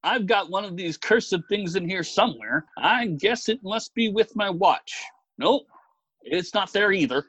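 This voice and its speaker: A woman voicing a fussy, excitable man who blusters and mutters as he gets exasperated, in a storybook reading. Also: medium pitch, unhurried, dry recording, pitched below the performer's own voice, low-quality recording. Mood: exasperated, angry. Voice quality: husky, whining. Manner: blustering, muttering, oath-prone.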